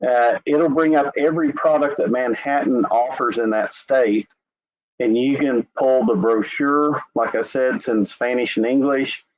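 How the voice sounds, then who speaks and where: This is a man with an Oklahoma accent and an ordinary middle-pitched voice, leading a webinar walkthrough. He talks in a relaxed, rambling way with plenty of filler. The sound is dull and lo-fi, like a web call. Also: unhurried. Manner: relaxed, conversational, with filler "uh" and "anyway". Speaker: insurance company representative. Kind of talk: screen-share webinar walkthrough. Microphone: video call.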